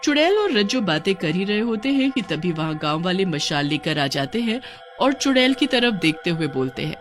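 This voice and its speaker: Female storyteller, scripted and dramatic, lively intonation over a light music bed. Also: lowish voice, normal speed. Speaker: narrator.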